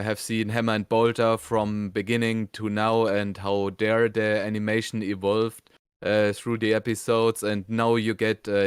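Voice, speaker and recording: A man co-hosting a casual review, talking in a halting way and repeating words as he forms his thoughts. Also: lowish voice, clean tone, no room sound, clean studio sound. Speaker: co-host of an animation review show.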